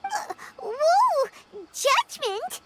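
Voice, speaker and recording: A woman voicing a small childlike fairy companion in a video game, with a squeaky, exclamatory delivery and excitable temper. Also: extremely slow, very noisy, full character voice. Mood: startled, nervous. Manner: exclamatory, refers to self in third person. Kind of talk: video game character acting.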